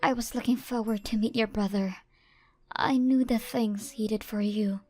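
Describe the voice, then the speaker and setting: A woman's voice: mid-range voice, steady tone, moderate pace, warm tone, faint music behind, close to an everyday voice. A woman playing a disappointed, reproachful young ex-fiancée in a manga dub. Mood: hurt, reproachful. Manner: reproachful, hurt. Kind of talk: manga dub character acting.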